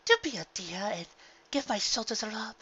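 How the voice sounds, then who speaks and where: A genteel lady pony from an audiobook, very high in pitch and swooping widely. She is prim and theatrical, given to indignant, desperate exclamations. The sound is a little lo-fi. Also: animated, moderate pace, pushed and bright, breathy edge. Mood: dreamy, genteel. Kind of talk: fan fiction audiobook character voice.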